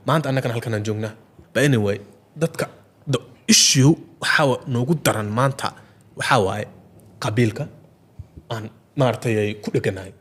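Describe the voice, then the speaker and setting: Very fast-talking man in discussion. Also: medium pitch, a bit bright. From Ogaden region. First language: Somali. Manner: repeats filler phrases, switches between Somali and English. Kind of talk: impassioned casual discussion.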